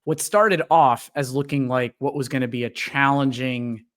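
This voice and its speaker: A man hosting a fan podcast, welcoming a guest in a relaxed, filler-heavy way. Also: medium pitch, moderate pace. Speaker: podcast host.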